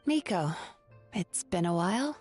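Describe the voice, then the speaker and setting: An actress playing a composed, caring young woman therapist in an anime game, measured, polite and gently dry. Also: mid-range voice, expressive, slightly rough, faint music behind.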